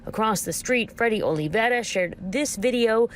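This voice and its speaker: A woman's voice: fairly high pitch, lively intonation, normal speed. American woman reporting news, some background noise. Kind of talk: scripted news report narration.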